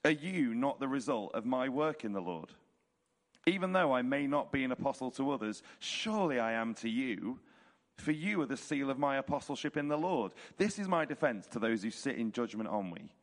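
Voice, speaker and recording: A man with a hoarse, croaky voice reads a scripture chapter aloud at a church service, in a measured, rhetorical way. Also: mid-range voice, sing-song. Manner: casual intro, then measured rhetorical reading. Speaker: church leader reading scripture. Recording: reverberant hall.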